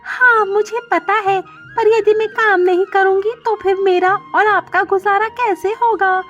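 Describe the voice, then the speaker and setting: Casting: woman as young girl bird maid for kids' cartoon story. High, polite, earnest, dutiful. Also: steady tone, a little slow, fairly bright tone, muffled recording, soft background music. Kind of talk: Hindi children's cartoon story dialogue.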